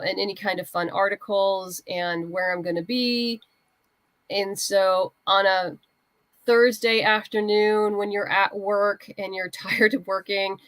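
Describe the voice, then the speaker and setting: A woman speaks at a middle pitch in a very dry, close recording. She talks like a guest in a casual interview, offering practical, down-to-earth advice in a conversational manner. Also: a bit bright, no room sound. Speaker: guest writer and comics creator.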